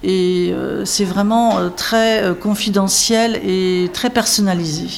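A woman's voice: mid-range voice, steady tone, measured pace, creaky. A woman coordinating literacy classes, answering interview questions in a rambling way, full of fillers, restarts and tag words. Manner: rambling, many fillers and restarts, emphatic "hein".

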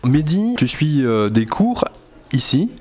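A man interviewing volunteers and a student, asking polite, measured questions. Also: mid-range voice, very animated, loud music behind the voice.

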